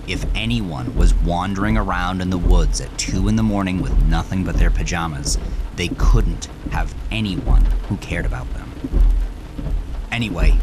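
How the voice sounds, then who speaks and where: A man's voice: low-pitched, very noisy. A man narrating a horror story in an intense, grave, dramatic way. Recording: loud horror score with rain behind.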